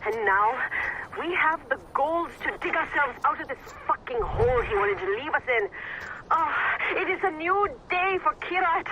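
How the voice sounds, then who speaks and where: An actress playing a fierce, driven female rebel commander in a video game, forceful and commanding over a radio. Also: high voice, lively intonation, moderate pace, noisy background. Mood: triumphant. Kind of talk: dramatic video game acting. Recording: radio transmission under loud music.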